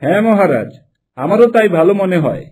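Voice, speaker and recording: Guy as a crafty cartoon minister, high mellow voice, sucks up to his king. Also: expressive, a little fast, breathy edge. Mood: flattering, sly. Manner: obsequious, sly. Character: cunning, flattering royal minister. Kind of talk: Bengali cartoon dubbing.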